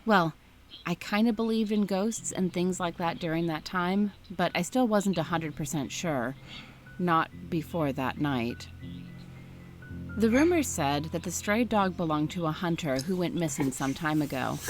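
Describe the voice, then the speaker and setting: A woman reading a first-person story aloud in a steady, scripted narration style. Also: lowish voice, measured pace, faint music behind.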